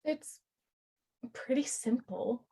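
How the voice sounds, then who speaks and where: A plainspoken character acted by a woman in a staged reading. The voice sits a little high and sounds natural and everyday. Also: slow pace, mellow, slightly rough, full character voice. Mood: curious.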